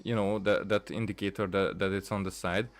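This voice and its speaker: A man giving casual gameplay commentary, rambling and thinking aloud with halting phrases. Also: deep voice, flat delivery. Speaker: gaming streamer. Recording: game music behind the voice. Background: video game music.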